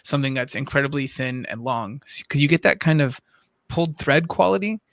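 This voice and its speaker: A man's voice: medium pitch, expressive, fairly quick, a bit bright, no echo, studio quality. A man co-hosting an art livestream, chatting casually and conversationally as a fellow artist.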